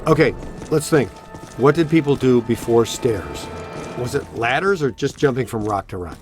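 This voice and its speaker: An actor playing a sarcastic, flirty middle-aged ghost hunter in a video game, with a deadpan, offhand delivery. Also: male voice, mid-range voice, moderate pace, music in the background, close to an everyday voice. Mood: dry, joking. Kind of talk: video game character acting.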